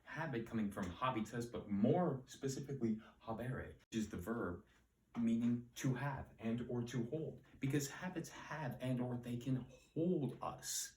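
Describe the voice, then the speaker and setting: A man's voice: medium pitch, a little mellow. A man hosting a casual talk on a word's Latin origins, with a soothing voice and a chatty, rambling manner full of asides. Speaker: host of a Latin word-origin channel. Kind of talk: casual etymology explainer. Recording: roomy, mic at a distance.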